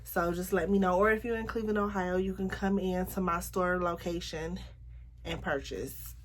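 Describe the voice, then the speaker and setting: Young woman, slightly raspy from a cold, lowish voice, chatty and frank, casual vlog talk. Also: fairly even intonation, slight background noise. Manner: chatty, frank, drawn-out emphasis. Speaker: makeup artist and vlogger.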